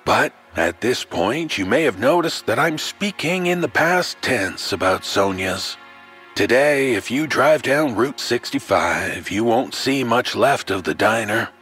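A man tells a scripted horror tale with theatrical flair over a soft musical score. His mid-pitched voice swoops expressively as he addresses the listener in a wry, folksy manner. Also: lively intonation, unhurried, faint music behind. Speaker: horror anthology storyteller.

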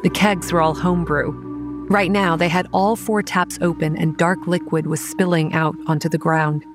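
A young woman playing a wry campground manager, telling a horror story in a measured, scripted first-person style. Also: fairly low pitch, steady tone, natural voice. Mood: grave. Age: young adult. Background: music.

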